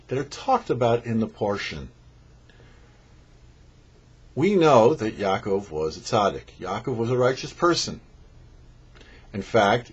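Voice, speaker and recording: A man gives a religious teaching in a husky, mid-pitched voice that moves up and down a great deal. He is didactic, setting up questions and explaining them. The recording is slightly dull and lo-fi. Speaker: rabbi, show host. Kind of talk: religious teaching, prepared commentary.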